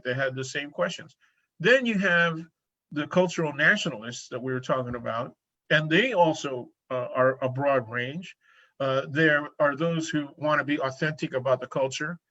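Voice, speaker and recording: A man's voice: medium pitch, pushed and bright, lo-fi sound. A man being interviewed as a professor, explaining in a casual way and often ending points with "right?". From United States. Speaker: professor guest. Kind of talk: conversational interview.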